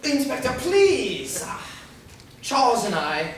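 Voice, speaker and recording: A male actor plays a genteel murder suspect, all defensive bluster and stammering, in theatrical old-fashioned phrasing. He has a lively, swooping delivery on a stage mystery. The sound is very echoey and distant, with faint noise. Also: extremely high pitch, takes it slowly, heavily acted. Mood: flustered, indignant. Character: defensive, flustered upper-class man, a murder suspect. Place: live staged reading in an echoey room.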